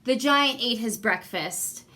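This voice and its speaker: A woman reading a children's storybook aloud expressively, with deliberate emphasis and repetition for young listeners. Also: fairly high pitch, expressive, sharp tone. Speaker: guest story reader.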